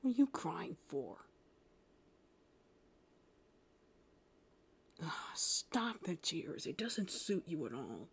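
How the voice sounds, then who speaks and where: A woman playing a gruff, prickly but secretly tender young male half-demon in a roleplay spoken to the listener, brusque with a low, gravelly voice. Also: big pitch swings, a little slow, exaggerated voice. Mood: gruff concern. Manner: gruff, brusque, softening into breathy murmurs. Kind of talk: roleplay audio addressed to the listener.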